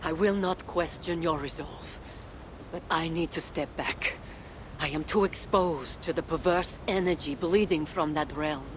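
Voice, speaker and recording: An actress playing a devoted, anxious goddess and wife in a video game cutscene, speaking gravely and earnestly, with pleading concern. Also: female voice, a little slow. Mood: resigned, tender. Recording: loud rumbling game background noise.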